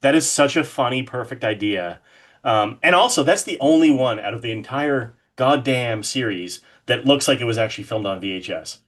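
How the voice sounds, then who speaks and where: A man's voice: medium pitch, moderate pace. A man chatting casually as a podcast guest, enthusiastic and loose with swearing. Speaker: podcast guest, horror artist. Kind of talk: casual interview chat about horror films.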